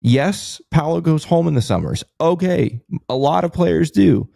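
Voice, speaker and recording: A man's voice: a little high, expressive, measured pace, gravelly, dark tone, dry recording, studio quality. An American man co-hosting a sports podcast in casual, conversational style. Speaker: podcast co-host.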